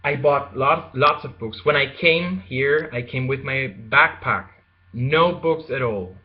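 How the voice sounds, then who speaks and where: Slow, hesitant young man on lo-fi audio. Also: mid-range voice. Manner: hesitant, many fillers and restarts, slow. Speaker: language learner vlogger. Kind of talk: casual unscripted monologue. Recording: dull lo-fi home video audio.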